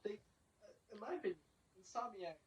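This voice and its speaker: A woman's voice: medium pitch, normal speed. A woman, co-hosting a casual podcast chat, rambling and trailing off mid-sentence. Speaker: podcast co-host. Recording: dull lo-fi podcast recording.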